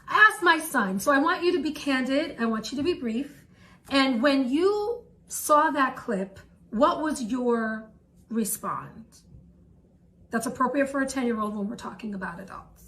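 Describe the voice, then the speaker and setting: A woman's voice: high voice, animated, measured pace, pushed and bright, low-quality recording. A woman speaking pointedly and emphatically to camera, giving instructions in a candid, conversational way. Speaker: mother questioning her son on video. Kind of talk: pointed social media video talk.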